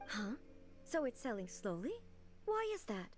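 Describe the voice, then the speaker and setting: A woman playing a serene, regal female ruler in a video game, composed, formal and curious, with gentle authority. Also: medium pitch, very animated, a little slow, soft background music. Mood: earnest, assured.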